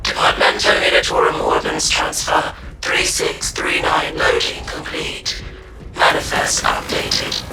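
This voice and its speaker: An actor playing a mechanical announcer who reads out faults and orders in clipped, bureaucratic phrases with a deep gravelly monotone. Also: male voice, unhurried, heavily acted. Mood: flat. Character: rumbling low machine announcer, mechanical and flat. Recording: echoey and distant, under loud game music.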